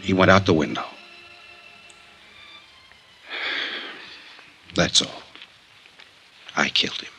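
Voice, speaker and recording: An actor playing a remorseful, lovelorn Western cattleman in a halting, brooding 1950s radio drama style. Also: low voice, gravelly, sharp tone, exaggerated voice. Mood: hushed, broken. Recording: muffled vintage 1950s radio recording, music.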